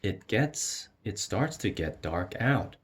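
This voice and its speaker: A man with a very low, husky voice narrates a children's storybook. He takes his time over each phrase, with plenty of expressive rise and fall. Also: slow pace. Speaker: storyteller reading a children's picture book aloud. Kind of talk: expressive read-aloud narration.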